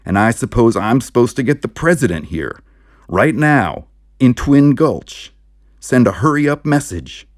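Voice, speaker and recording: A man voicing a gruff, bullheaded American small-town sheriff in an audiobook, blustering and yelling. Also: medium pitch, very animated, a little slow, no echo, studio quality, pitched above the performer's own voice. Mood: indignant. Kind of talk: audiobook character voice.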